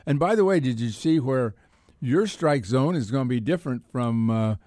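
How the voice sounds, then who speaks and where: American man, casual sports talk host, halting delivery with repeated words, dry close sound. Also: medium pitch, lively intonation, warm tone, dry recording.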